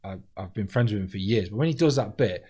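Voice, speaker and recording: A man talking in casual chat as a comedian guest, deadpan and blunt, swearing freely as he tells stories. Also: fairly low pitch, fast talker, breathy edge.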